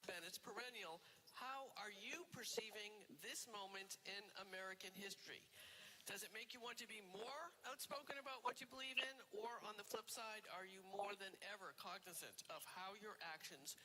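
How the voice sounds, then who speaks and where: A radio host runs a call-in show, setting up topics briskly and repeating the call-in number. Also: medium pitch, bright, forceful tone, big-room sound, slight background noise, low-quality recording. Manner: brisk, conversational, reads the phone number. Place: radio studio.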